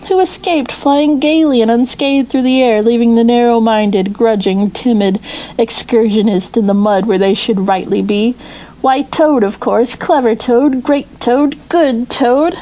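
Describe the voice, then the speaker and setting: A woman's voice: on the high side, a little slow, warm tone, natural voice, poor audio. A woman voicing a boastful, reckless male toad in a storybook, crowing in grandiose, self-admiring declamation. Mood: gleeful self-admiration. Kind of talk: children's storybook character voice.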